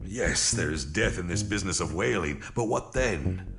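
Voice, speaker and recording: Ominous literary orator haunting a writer's mind, deep, creaky, theatrical, for audio drama. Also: male voice, lively intonation, moderate pace, over music. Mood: brooding grandeur. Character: menacing male inner voice, brooding literary orator. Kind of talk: theatrical audio play acting.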